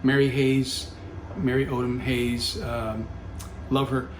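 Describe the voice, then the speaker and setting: A man's voice: medium pitch, flat delivery, slow. A middle-aged man telling a personal story in casual talk, full of fillers and self-corrections. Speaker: podcast guest, company founder. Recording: roomy echo with faint background hum.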